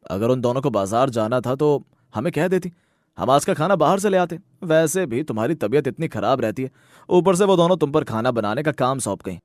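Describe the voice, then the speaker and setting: A man's voice: mid-range voice, lively intonation, brisk, no room sound, studio quality, lightly acted. A man voicing an earnest young working son in a cartoon moral story, direct and familiar with his family. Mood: concerned. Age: young adult. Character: earnest young working sons, protective of their mother. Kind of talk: scripted cartoon story dialogue.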